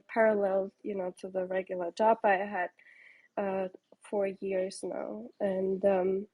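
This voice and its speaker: A woman's voice: mid-range voice, darkish tone, rough recording. A woman from Portugal in her thirties, a startup founder answering interview questions in a rambling way with many ums and restarts.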